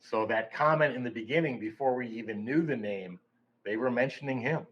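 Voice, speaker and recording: A man's voice: mid-range voice, fairly quick, lo-fi sound, a little hiss or hum. A man, a paranormal investigator, recounting events in a loose, rambling way during casual podcast chat.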